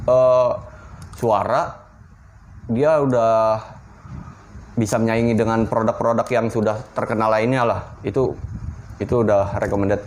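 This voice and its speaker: Low-voiced man, casual slang. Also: darkish tone, a little hiss or hum. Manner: informal, slangy, talking through a hands-on demonstration. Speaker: product reviewer. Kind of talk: casual unscripted product review.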